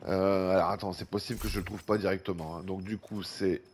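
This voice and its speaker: A man's voice: deep voice, steady tone, a little fast. A man with a French accent, congested from a cold, chatting casually as he talks through a video game and reads viewer comments. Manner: chatty, breathes heavily at times. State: has a head cold, congested. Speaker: gaming YouTuber. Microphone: desk microphone with fan running nearby. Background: faint fan noise.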